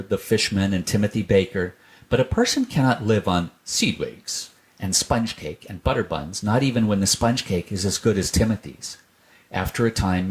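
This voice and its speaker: Male narrator, slightly deep, scripted reading of a children's tale. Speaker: storyteller.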